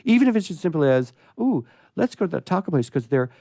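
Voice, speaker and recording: A man's voice: fairly high pitch, very animated, fast, vocal fry, dark tone, no room sound. Man hosting, playful, dry studio sound. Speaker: radio show host. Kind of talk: reading a humorous story and chatting on a live radio theatre show.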